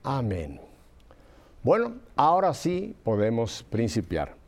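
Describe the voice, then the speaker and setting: A man from Mexico hosting a religious talk show, interviewing guests in an expansive, animated way with playful asides. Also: mid-range voice, slow pace. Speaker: host of a faith talk show.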